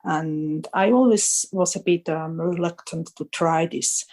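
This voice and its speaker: A woman's voice: low voice, a little slow, a little mellow. A woman interviewed as a guest editor, answering thoughtfully and hesitantly, often restarting her phrases.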